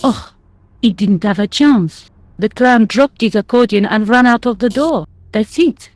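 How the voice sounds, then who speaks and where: A woman's voice: on the high side, lively intonation, measured pace, a little mellow, no echo. An actress playing a blunt, groggy, grumbling French woman who likes a drink, with a French accent, in an adventure game. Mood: shaken, frank.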